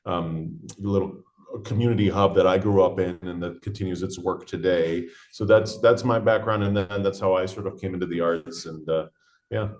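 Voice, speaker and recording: This is a man who grew up in Los Angeles, now an actor being interviewed about his play. He speaks in a low register, friendly and relaxed. He chuckles and often restarts his sentences with "uh". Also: normal speed. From Los Angeles, USA. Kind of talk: interview guest.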